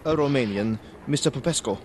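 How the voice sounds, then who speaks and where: An actor playing an evasive, courteous Viennese baron in a radio drama, with an Austrian German accent and a hesitant, ingratiating manner. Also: male voice, medium pitch, a little fast, darkish tone, a little hiss or hum, lightly acted. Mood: solemn.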